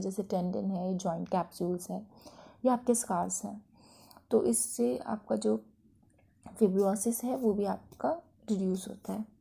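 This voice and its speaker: A woman's voice: mid-range voice, vocal fry, warm tone, breathy edge. An Indian woman giving an explanatory physiotherapy lecture in an instructive manner, mixing in English technical terms.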